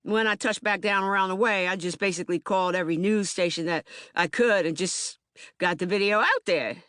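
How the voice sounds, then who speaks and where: A woman's voice: medium pitch, brisk, fairly bright tone, no room sound, studio quality, close to an everyday voice. A woman performing a theater monologue as an agitated, streetwise young man, speaking emphatically and colloquially with stammered repetitions. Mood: animated, proud. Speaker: actor in documentary theater. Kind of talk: dramatic monologue, audiobook theater.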